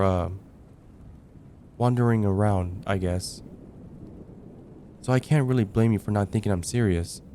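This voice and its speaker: A man playing a lovestruck young heartbreaker friend in an ASMR roleplay, speaking in an earnest, halting, stammering way. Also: lowish voice, mellow, a little hiss or hum. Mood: confessing, tender. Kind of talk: ASMR roleplay, intimate dramatic monologue.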